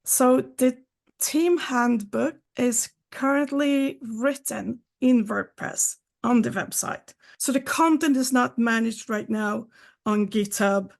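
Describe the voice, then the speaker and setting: Gravelly-voiced woman podcast guest. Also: fairly high pitch, takes it slowly. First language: not English. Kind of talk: casual technical chat.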